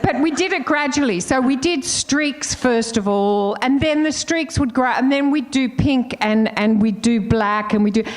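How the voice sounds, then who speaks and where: A woman's voice: sits high, normal speed, hardly any pauses. An older woman with an Australian accent, telling candid, laughing stories as a panelist. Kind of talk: casual storytelling on a panel.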